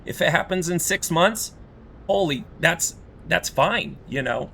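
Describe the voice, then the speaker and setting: Hoarse, rambling male streamer. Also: on the high side, measured pace, fairly bright tone. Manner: frustrated, rambling, many fillers. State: voice going hoarse. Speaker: game streamer. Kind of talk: casual stream talk.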